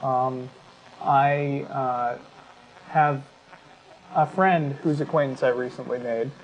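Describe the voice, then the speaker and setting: A man with an American accent speaks as a talk show host, making an argument conversationally and often pausing mid-sentence. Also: mid-range voice, fairly even intonation, muffled recording.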